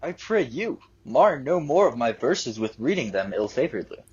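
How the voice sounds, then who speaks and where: Man acting, husky young gentleman, lovesick, earnest, wry, Shakespearean audio play, muffled lo-fi recording. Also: medium pitch, big pitch swings, normal speed. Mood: wry sparring.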